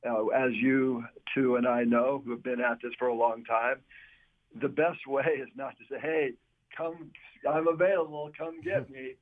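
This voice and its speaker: A mature man answering as a university athletics official in a radio interview, measured and advisory, on a muffled band-limited clip. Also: mid-range voice, fairly even intonation.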